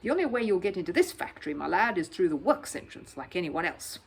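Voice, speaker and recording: A woman's voice: fairly low pitch, sing-song, fast talker, gravelly, breathy edge, full character voice. A woman voicing a grumpy, suspicious male factory gatekeeper in a children's storybook, officious and scornful. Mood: cross, disbelieving.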